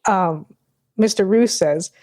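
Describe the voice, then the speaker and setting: A woman's voice: mid-range voice, slow pace, darkish tone, no echo, studio quality. A young adult woman co-hosting a casual podcast, sardonic, swearing freely and laughing often. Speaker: podcast co-host.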